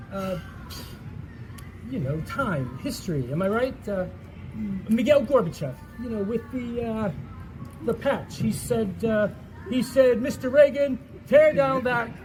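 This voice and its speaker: A man acting a flustered, blustering fellow in a comic stage play, with halting delivery. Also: high-pitched, expressive. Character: exasperated dream man, bumbling suitor and flustered history teacher. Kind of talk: theatrical one-act stage comedy acting. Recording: noisy live stage recording.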